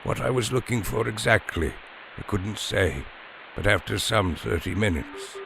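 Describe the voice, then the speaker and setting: A man narrating scripted first-person literary fiction in a measured, deliberate, formal way. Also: lowish voice, animated, creaky, crowd noise. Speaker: storyteller narrator of an audio fiction series.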